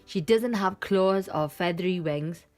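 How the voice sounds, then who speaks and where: A woman's voice: fairly low pitch, measured pace, darkish tone, faint music behind, natural voice. A woman voicing a small lost monkey child in a children's storybook, protesting emphatically as it searches for its mum. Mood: plaintive, explaining. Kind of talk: children's picture book read-aloud.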